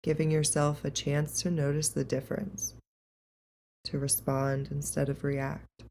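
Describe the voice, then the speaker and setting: A woman leading a guided meditation in a calm, gentle, unhurried and soothing way. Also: low-pitched, creaky, a little hiss or hum.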